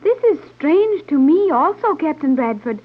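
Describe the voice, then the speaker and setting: High-voiced, formal young woman. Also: sing-song, moderate pace. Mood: formal, composed. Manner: formal, stilted, no contractions. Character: cool, formal young woman from a scientific colony. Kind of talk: 1930s radio serial acting. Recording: muffled, narrow-band old radio recording.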